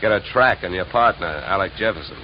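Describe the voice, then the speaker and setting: An American actor playing a wry, hard-boiled insurance investigator in a 1950s radio drama, dry, clipped and wisecracking. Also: male voice, low-pitched, lively intonation, fairly quick, slight background noise. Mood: businesslike, probing. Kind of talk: 1950s radio detective acting and narration. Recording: dull vintage radio recording with music.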